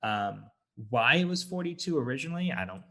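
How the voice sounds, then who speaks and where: A man co-hosting a casual film review podcast, talking haltingly as he searches for words. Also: medium pitch, expressive, fairly bright tone. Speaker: podcast co-host.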